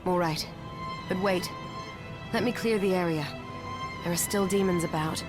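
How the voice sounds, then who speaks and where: A woman's voice: fairly low pitch, normal speed, close to an everyday voice. An actress playing a resolute, kind young woman, a demon fighter, in an earnest video game performance. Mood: alert, cautious. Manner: earnest, determined. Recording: loud music behind the voice. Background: loud game music.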